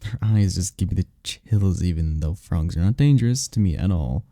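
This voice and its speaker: A man playing a tender, powerful incubus boyfriend in an intimate roleplay ASMR, speaking softly and soothingly with unhurried, caring murmurs. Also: animated, dry recording, studio quality. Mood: gentle, reassuring. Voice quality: deep, dark, gravelly, husky. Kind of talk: intimate roleplay ASMR, scripted comfort monologue. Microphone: close mic, dry.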